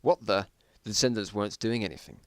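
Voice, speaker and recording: A man's voice: lowish voice, fast, smooth, no room sound, clean studio sound. A man giving a dramatic reading of his own story, breaking off for self-mocking, exasperated asides about the writing.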